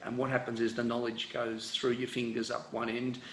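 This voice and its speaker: A man's voice: mid-range voice, fairly quick, roomy sound, slight background noise, poor audio. A middle-aged man with an Australian accent, an education professor giving an online presentation with many fillers and restarts. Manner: relaxed, rambling lecture with many fillers and restarts.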